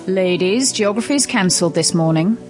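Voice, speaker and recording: A stern, composed schoolteacher acted by a woman in an audio drama. She speaks formally and with authority at a medium pitch, over soft music. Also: animated, fairly quick, lightly acted. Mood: firm, commanding.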